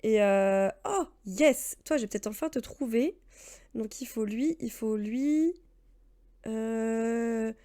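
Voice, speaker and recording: A woman in her late thirties streams a game and chats loosely with her viewers, wandering between topics with plenty of fillers and laughter. Her voice sits a little high but has a soft, mellow tone. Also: slow. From France. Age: 30s. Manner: rambling, chatty, laughs often, answers chat. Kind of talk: casual let's-play chat.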